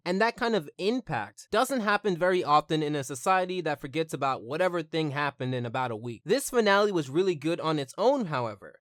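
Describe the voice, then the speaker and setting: A man's voice: fairly high pitch, no room sound, studio quality. A man hosting a video series, reading scripted review narration about entertainment.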